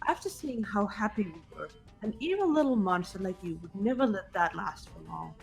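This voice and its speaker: A woman voicing a calm, stoic and reassuring young woman in a fan-dubbed game, measured and composed. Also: medium pitch, creaky, soft background music.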